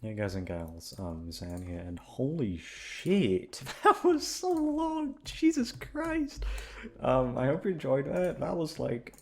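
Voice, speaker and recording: A young adult man who works as an ASMR voice actor, talking in an unhurried, informal way. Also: medium pitch, wildly swooping pitch, vocal fry. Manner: self-deprecating, halting. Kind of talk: casual, rambling chat to listeners.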